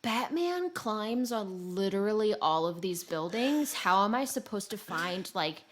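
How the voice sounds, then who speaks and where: A woman's voice: mid-range voice, expressive, measured pace, a little hiss or hum. A woman chatting casually and informally about video games as a podcast co-host, rambling and self-deprecating.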